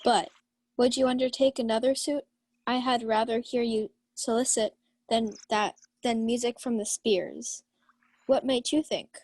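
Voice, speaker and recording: A woman's voice: a little high, fairly even intonation, a little slow, darkish tone, dry recording. A woman playing a proud, lovestruck young lady of the house in a Shakespeare radio play, formal and declamatory. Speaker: student actor.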